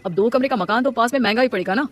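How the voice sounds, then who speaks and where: A man's voice: very high voice, racing, a bit bright. A man playing a weary, scheming young city husband in a story, talking in a conversational, reasoning way.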